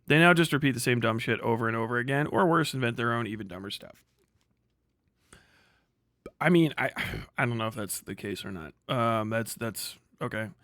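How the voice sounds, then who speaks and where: A man's voice: mid-range voice, normal speed, a bit bright, dry recording, studio quality. A man hosting a livestream with casual commentary, blunt, sweary and rambling in the way he talks. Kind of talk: casual livestream commentary, reading and reacting to posts.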